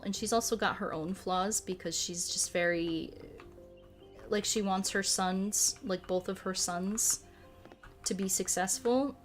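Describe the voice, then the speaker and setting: Female game streamer, mid pitch, casual and rambling, playfully cutesy turns of phrase, music behind. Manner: rambling, halting explanations with frequent self-corrections. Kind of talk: casual chat while playing a game.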